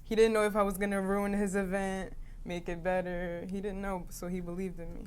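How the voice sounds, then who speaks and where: A woman's voice: mid-range voice, level tone, brisk, smooth. A young American woman, a singer, answering interview questions in a chatty, easygoing way with many "you know"s. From Newark, New Jersey, USA.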